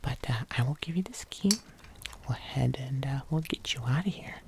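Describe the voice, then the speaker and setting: An ASMR performer playing a kindly, patient locksmith, murmuring gently and unhurriedly to the listener, close to the microphone. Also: medium pitch, lively intonation, moderate pace, gravelly, noisy background. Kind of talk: whispered ASMR roleplay.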